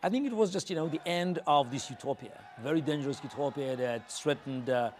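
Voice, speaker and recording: A middle-aged man from Azerbaijan, answering interview questions in a halting way, full of fillers and restarts. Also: a little high, slight background noise. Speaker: interview guest.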